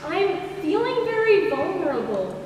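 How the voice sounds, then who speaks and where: Aggrieved, needy woman played by an amateur actress in stage comedy; very high pitch, distant reverberant recording. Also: very animated, unhurried, a little mellow, slight background noise, full character voice. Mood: pleading. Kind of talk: amateur comic stage play acting. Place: school theatre stage, recorded from the audience. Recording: very echoey hall from far off.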